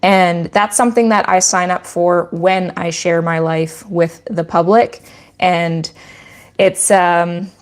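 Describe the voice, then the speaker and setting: A woman's voice: on the low side, fairly even intonation, slow pace. A woman with a Canadian accent who hosts a channel about her goat farm, chatting in a rambling, teacherly way during a livestream Q&A. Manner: rambling with frequent restarts. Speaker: goat farmer and channel host. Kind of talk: casual livestream chat and Q&A.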